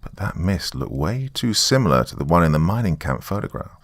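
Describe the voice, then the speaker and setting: A man's voice: deep voice, big pitch swings, fairly quick, no echo. A man narrating a scripted first-person horror story in a wry, conversational storytelling manner.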